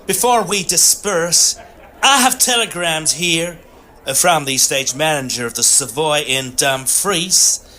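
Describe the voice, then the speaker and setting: An actor playing a fussy, formal Victorian assistant in a comic audio drama, with a precise, officious way of talking. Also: male voice, a little high, measured pace, pushed and bright, a little hiss or hum. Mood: fussy, anxious. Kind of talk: period comedy audio drama acting.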